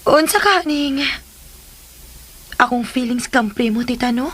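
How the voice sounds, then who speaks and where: A lovelorn young Filipina in a radio serial. Her voice is high and bright, impulsive and full of longing. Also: a little slow. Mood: pining. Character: lovesick, pining young woman, impulsive. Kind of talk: radio drama acting.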